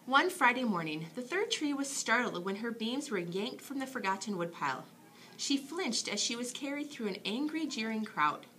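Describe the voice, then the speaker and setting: A woman reads a children's bedtime story aloud in an expressive, dramatic storytelling manner. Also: on the high side, moderate pace, rough recording. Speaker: storybook reader.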